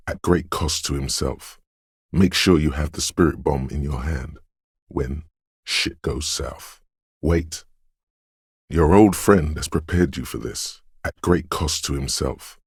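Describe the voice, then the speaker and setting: A man voicing a brooding, cryptic inner voice of intuition in a video game, speaking in a hushed, deliberate, portentous way. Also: rumbling low, breathy edge, dry recording, studio quality, full character voice. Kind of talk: scripted video game narration.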